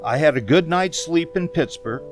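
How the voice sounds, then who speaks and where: An actor playing an uneasy, haunted motorist who tells his story in a hesitant, deliberate radio drama style. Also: a little high, lively intonation, music in the background, natural voice. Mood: uneasy, brooding. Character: uneasy, haunted male motorist recounting a strange journey. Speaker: narrator and lead actor. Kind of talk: radio drama monologue, old-time radio acting.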